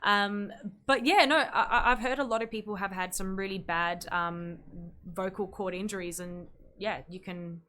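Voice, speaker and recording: A woman's voice: mid-range voice, steady tone, normal speed, hardly any pauses, bright, forceful tone. A young Australian woman talks casually as a podcast guest, a singer explaining her craft. She speaks in a chatty way, often restarting her sentences, and now and then breaks into scales to show a point. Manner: chatty, sings scales to demonstrate, frequent restarts.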